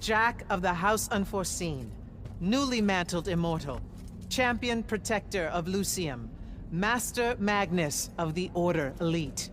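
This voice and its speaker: A woman playing a composed leader of battlemages in a fantasy video game, measured and authoritative in an oratorical way. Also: lowish voice, lively intonation, a little hiss or hum. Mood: commanding, ceremonial.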